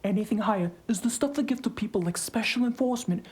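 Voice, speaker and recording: A woman's voice: a little high, fast talker, darkish tone, natural voice. A woman playing a blunt, exasperated but caring colleague in an audio drama. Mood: scolding. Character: blunt, exasperated, knowledgeable female colleague, worried.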